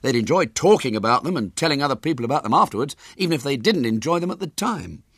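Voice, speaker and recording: A man's voice: mid-range voice, lively intonation, fast, fairly bright tone, breathy edge, no room sound, studio quality, pitched above the performer's own voice. A man voicing an eager, good-natured schoolboy gang member in a storybook reading, keen and upbeat.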